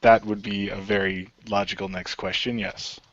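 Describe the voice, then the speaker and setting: A man playing a mild, reasonable middle-aged man in a radio play, measured, tentative and understated. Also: lowish voice, little pitch movement, fairly bright tone, dry recording, close to an everyday voice. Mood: hesitant, reasonable. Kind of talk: improvised radio play dialogue. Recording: dry, slightly dull close recording.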